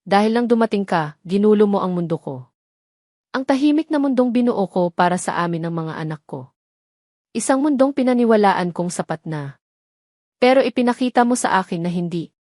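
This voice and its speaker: A remorseful mother pleads with the man she loves, as a woman narrator reads her. She is frightened and halting, in audio drama style. The voice is mid-pitched in a very dry recording. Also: lively intonation, a little mellow, no room sound, natural voice.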